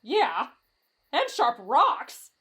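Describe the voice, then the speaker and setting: A woman's voice: high voice, wildly swooping pitch, drawn-out words, a bit bright, heavily acted. A woman voicing a timid, lovestruck teenage boy in an anime-style roleplay drama, with a dramatic, whiny delivery that swings between fretful and gushing. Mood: anxious, fretful. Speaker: voice actor in roleplay audio.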